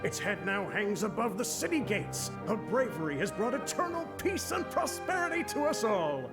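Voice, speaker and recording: High-voiced theatrical storytelling father. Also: very animated, moderate pace, loud music behind the voice. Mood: grandly proclaiming. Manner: theatrical, grandly proclaiming, encouraging. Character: doting, playful father storyteller, proclaiming a fairy tale. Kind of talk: video game voice acting.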